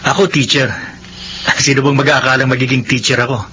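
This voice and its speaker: A man's voice: mid-range voice, flat delivery, brisk, close to an everyday voice. An actor playing a friendly, sentimental and eager Filipino man in a radio drama. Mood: delighted. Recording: muffled radio broadcast with clear noise.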